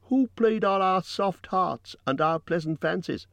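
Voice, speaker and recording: A man's voice: mid-range voice, expressive, unhurried, no echo, pitched above the performer's own voice. A man voicing a cunning, self-serving old town master in a pompous, indignant, oratorical manner for a fantasy storybook. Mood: indignant, pleading.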